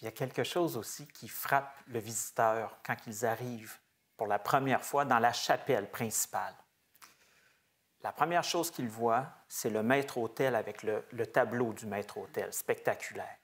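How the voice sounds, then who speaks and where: A man in his forties, a museum research director, explaining the history of a chapel's stained glass in a measured, hesitant way with frequent fillers. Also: mid-range voice. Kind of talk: explanatory heritage talk. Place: small wood-paneled chapel, indoors.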